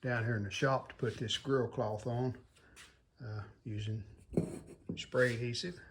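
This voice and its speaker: A man giving casual how-to narration as a hobbyist restorer, plain-spoken and folksy, low-key, talking in short phrases. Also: steady tone, a little slow, slight background noise. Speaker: hobbyist restorer demonstrating a repair.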